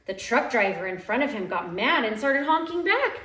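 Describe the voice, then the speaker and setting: Female storybook reader for young kids, very lively intonation, expressive delivery, roomy home sound. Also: a little high. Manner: expressive, dramatic drawn-out phrasing. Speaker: teacher reading aloud to young pupils. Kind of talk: animated children's storybook read-aloud. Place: living room at home. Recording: roomy, echoey home recording.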